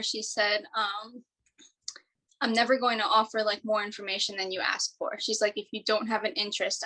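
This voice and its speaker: A woman's voice: medium pitch, pushed and bright, low-quality recording. A woman speaking casually in an interview about adoption, using "like" often. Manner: casual, frequent "like". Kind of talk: personal interview.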